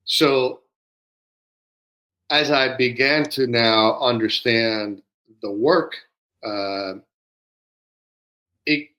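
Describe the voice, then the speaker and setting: Older man who grew up in Peru, co-host, thoughtful pacing with many pauses, mid-pitched voice. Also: fairly bright tone. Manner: measured, pausing often with fillers. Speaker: podcast co-host. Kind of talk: reflective conversational monologue.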